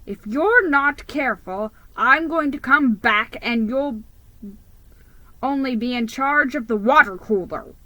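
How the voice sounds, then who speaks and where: A woman voicing a tough, no-nonsense old grandfather mouse in a children's storybook reading, loud, blustering and emphatic. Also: high voice, a little slow, no room sound, pitched above the performer's own voice, rough recording. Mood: angry, thundering.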